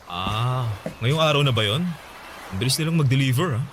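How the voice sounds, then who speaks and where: A man's voice: mid-range voice, animated, normal speed, a bit bright, lightly acted. A man playing an earnest young father in a Tagalog radio drama, talking in a casual, conversational way. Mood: affectionate. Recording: noticeable background ambience noise.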